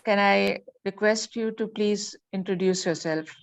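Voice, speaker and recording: A woman moderating an online meeting and introducing participants, speaking politely in a hesitant, halting way over a video call. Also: medium pitch, creaky. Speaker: meeting host.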